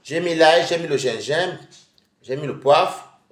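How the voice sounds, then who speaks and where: A man's voice: a little high, a little slow, reverberant. A man with a head cold, chatting casually with viewers on a live stream from home while he eats. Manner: chatty and rambling, addressing viewers directly.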